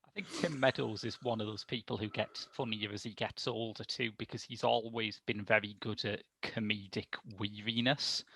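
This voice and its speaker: Male reviewer, creaky lowish voice, wry opinionated chat. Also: steady tone, moderate pace, hardly any pauses, pushed and bright, no room sound, rough recording. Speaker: podcast panelist reviewing films. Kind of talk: casual film discussion chat. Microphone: remote call microphone.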